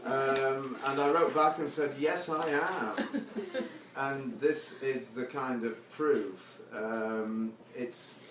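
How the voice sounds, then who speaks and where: A man's voice: mid-range voice, drawn-out words. A man giving a live poetry reading with informal introductions, speaking with wry, self-deprecating humour. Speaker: poet reading own poems. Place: live reading venue with seated audience. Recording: echoey, noisy room from far off.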